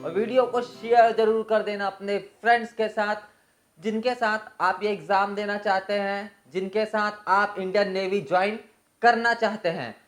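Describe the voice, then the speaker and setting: A woman's voice: mid-range voice, steady tone, moderate pace, soft background music. Woman presenter explaining details point by point. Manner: methodical, itemizing details point by point; mixes many English terms into speech. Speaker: host of an exam and job information channel.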